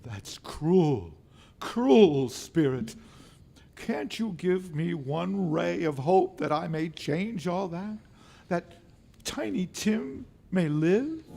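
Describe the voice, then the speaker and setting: A man playing an anxious, repentant old miser in a radio drama, pleading in a halting, dramatic way. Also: high voice, creaky, warm tone, slightly rough, heavily acted.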